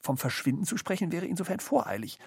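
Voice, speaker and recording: An actor playing a wry, reflective intellectual in a radio play, speaking in a measured, ironic way with a husky, creaky voice. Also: male voice, high-pitched, sing-song, a little hiss or hum, heavily acted. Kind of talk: experimental radio play dialogue.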